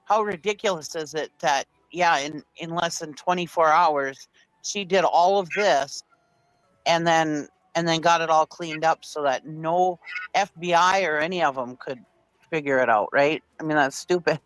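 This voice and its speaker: Male live-chat guest, slightly high-pitched, casual and reasoning, light music behind. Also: moderate pace, a bit bright, clear, resonant tone, dry recording, rough recording. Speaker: live stream panelist. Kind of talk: casual true-crime reaction chat.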